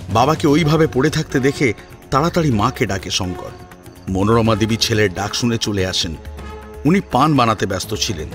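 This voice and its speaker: A man narrating a scripted family drama in an expressive, suspenseful storytelling manner. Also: on the low side.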